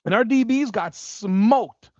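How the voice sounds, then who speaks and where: A man in his forties hosting a casual sports podcast, animated and swearing freely as he rambles like a fan. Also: very high-pitched, slow, dry recording. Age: 40s. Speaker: podcast host. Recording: dull dry lo-fi sound.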